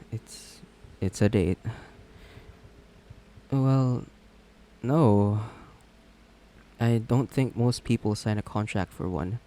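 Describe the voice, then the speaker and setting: A man's voice: mid-range voice, a little mellow, natural voice. A man playing an awkward, earnest villain on a blind date in a romantic comedy audio drama, talking in a flustered, conversational way with stammers. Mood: flustered, hesitant. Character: well-known male villain on a blind date, awkward, earnest, legal-minded.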